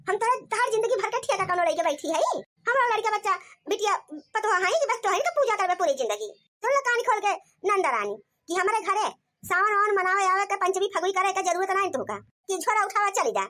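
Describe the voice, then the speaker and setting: Woman voicing a shrill, spiteful sister-in-law. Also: sing-song, fast, sharp tone, full character voice. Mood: scornful, angry. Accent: Awadhi. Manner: scolding, curt. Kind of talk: cartoon comedy dialogue.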